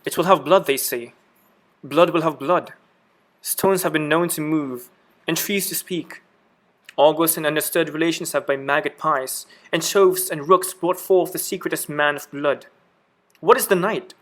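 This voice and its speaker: A man's voice: a little high, normal speed, a bit bright, natural voice. An actor playing a guilt-haunted, raving king in a dramatic reading of Shakespeare. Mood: brooding.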